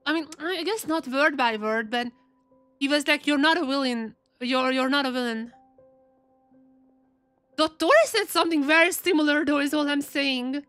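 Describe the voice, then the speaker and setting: A woman's voice: sits high, normal speed, fairly bright tone, dry recording, soft background music. A woman live streaming and reacting casually to a game, chatty and repeating phrases for emphasis.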